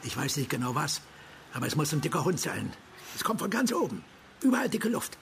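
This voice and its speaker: An actor playing a gruff, blunt police chief in a German radio crime drama, giving clipped, bossy orders. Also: male voice, a little high, sing-song, fairly quick. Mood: urgent, grumbling. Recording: noisy room ambience behind.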